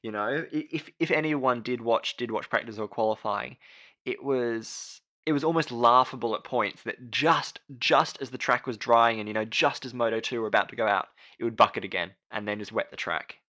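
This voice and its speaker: A man's voice: mid-range voice, normal speed, sharp tone. A man co-hosting a casual motorsport podcast, talking with lots of fillers and restarts. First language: English. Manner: chatty, frequent fillers and restarts. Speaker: podcast co-host.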